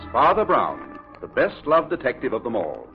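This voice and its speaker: A man's voice: mid-range voice, huge pitch swings, normal speed. Theatrical old-time radio announcer, man, over organ. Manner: theatrical, formal introductions. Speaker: announcer and narrator. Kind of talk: old-time radio drama scripted narration. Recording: scratchy narrow-band vintage radio recording. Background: organ music.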